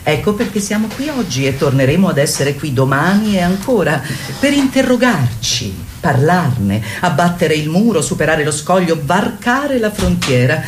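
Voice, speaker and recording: A woman's voice: on the low side, slight background noise. An actress playing an assertive female therapist who leads a women's group in a didactic, declamatory way in a radio play. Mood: solemn, inviting. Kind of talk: theatrical radio play monologue.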